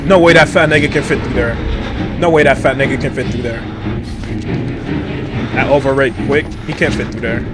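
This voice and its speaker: A young man commenting casually as he plays a horror game, loud and joking, repeating phrases, on a headset mic in a small room. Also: medium pitch, a little fast, pauses often, very noisy. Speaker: gaming streamer. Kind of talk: casual let's-play commentary.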